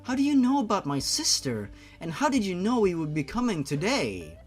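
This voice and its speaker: Man voicing an earnest, lively young woman. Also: high-pitched, normal speed, faint music behind, voice pushed up. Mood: surprised. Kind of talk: storybook character reading.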